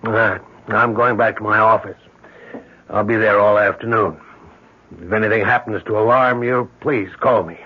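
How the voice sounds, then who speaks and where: An actor playing a brisk, concerned and reassuring male family doctor in a 1970s radio drama. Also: fairly low pitch, fairly even intonation, husky. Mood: concerned, professional. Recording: muffled 1970s radio broadcast recording.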